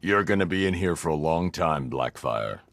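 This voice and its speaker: An actor playing a grim, stoic masked vigilante in a video game, speaking in a terse, stern deadpan. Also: male voice, very deep voice, normal speed, slight background noise, heavily acted. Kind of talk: video game hero dialogue.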